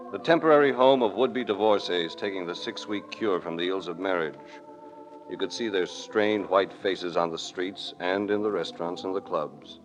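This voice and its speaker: An actor playing a worldly American adventurer-detective with a measured, sincere manner in a 1940s radio drama. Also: male voice, deep voice, close to an everyday voice. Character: worldly, sympathetic American adventurer-detective hero, narrating his tale. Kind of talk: 1940s radio acting and noir narration. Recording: noisy old radio recording.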